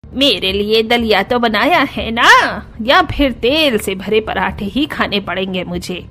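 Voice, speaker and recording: A woman voicing a sharp-tongued older aunt in a cartoon story, sneering and complaining. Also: mid-range voice, expressive, unhurried, faint music behind. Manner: sneering, taunting. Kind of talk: Hindi cartoon story dialogue.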